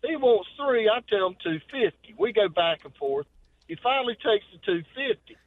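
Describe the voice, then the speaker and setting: A man's voice: high-pitched, expressive, moderate pace, no room sound. A middle-aged man, calling in to a radio show and telling a folksy story. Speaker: caller. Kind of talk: telling a story on a phone call. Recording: tinny phone line.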